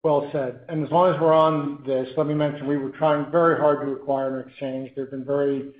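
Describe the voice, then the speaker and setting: An American man from New England commenting off the cuff as an executive on an earnings call, halting and often restarting his sentences. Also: medium pitch, level tone. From New England, United States. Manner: halting, self-correcting, with repeated restarts. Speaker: company chief executive. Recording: tinny phone line with room echo.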